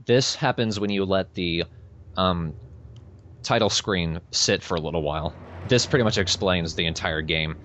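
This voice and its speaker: A man's voice: low-pitched, moderate pace, fairly bright tone, a little hiss or hum. A man hosting a let's play, chatting casually and dryly with a co-host and swearing freely. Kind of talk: casual gameplay commentary with a co-host. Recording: game music under the voice. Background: video game soundtrack.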